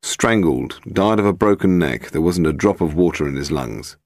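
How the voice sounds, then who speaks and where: A blunt British police inspector, performed by a male narrator speaks in clipped, inquisitive fashion. The voice is very low but animated, in a detective audiobook. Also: normal speed, no echo, studio quality.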